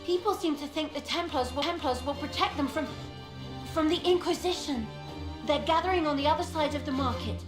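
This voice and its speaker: An actress playing a young female scout giving a brisk report in a game. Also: high-pitched, lightly acted. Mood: urgent. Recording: echoey game audio with music.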